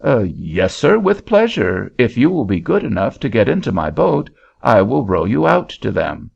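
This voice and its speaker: A man voices a plain, calm farmer explaining things matter-of-factly, in a gravelly, husky voice. The recording is dull and lo-fi. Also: mid-range voice, normal speed, heavily acted. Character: plain, helpful male local farmer.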